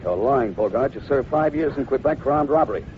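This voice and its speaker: An actor playing a firm, authoritative Mountie in an old radio drama, with a clipped, stern delivery. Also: male voice, medium pitch, animated, a little fast, lightly acted. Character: stern, commanding Canadian Mountie interrogating a suspect. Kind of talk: 1950s radio drama acting. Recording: tinny, noisy old radio recording.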